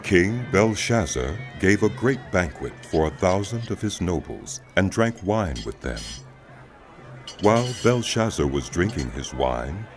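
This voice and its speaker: A man with a very low voice serves as narrator of a dramatized scripture reading. His delivery is measured and solemn, with prominent music behind the voice. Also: expressive.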